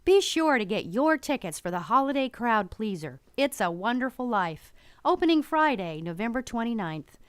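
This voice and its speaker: Female announcer, scripted promotional read, crisp tone, fairly high and animated. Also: normal speed, no room sound.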